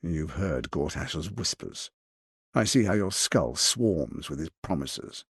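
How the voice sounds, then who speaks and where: An actor playing a suave, ambitious, scheming lord in a video game, speaking in a theatrical, articulate and condescending way. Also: male voice, very deep voice, lively intonation, takes it slowly, creaky, breathy edge, no echo, studio quality, exaggerated voice. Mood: cold, condescending. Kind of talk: dramatic video game voice acting.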